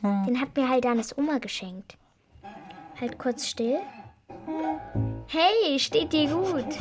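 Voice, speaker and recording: A young girl with a high voice, eager and a little secretive. It is a children's radio play, with faint music behind. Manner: eager, conspiratorial. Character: resourceful, secretive young girl devoted to her dog.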